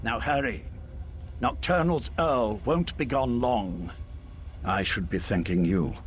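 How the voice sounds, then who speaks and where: An actor playing a calm, self-sacrificing mage in a video game, measured, formal and wry with quiet regret. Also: male voice, mid-range voice, slight background noise, close to an everyday voice. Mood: resigned, regretful.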